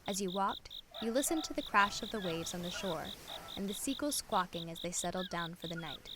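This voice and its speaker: A woman's voice: on the low side, animated, fairly quick, background noise. A woman reading scripted second-person story narration as the narrator of a visual novel. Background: music.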